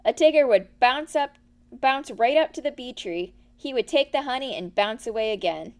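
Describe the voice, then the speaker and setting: Exuberant cartoonish tiger for a children's story, played by a woman, cocky, loud, pitched high. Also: expressive, normal speed. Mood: boastful, excited. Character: boastful, bouncy, exuberant male tiger.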